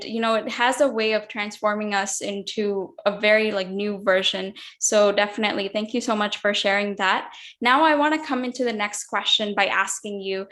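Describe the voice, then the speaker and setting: A woman's voice: medium pitch, a bit bright. A woman hosting an interview show, reading an introduction and asking questions in a gracious, upbeat way. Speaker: host.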